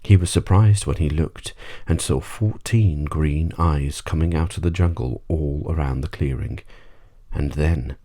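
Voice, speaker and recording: A man narrating a bedtime story audiobook in an ASMR style, husky and gently measured. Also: rumbling low.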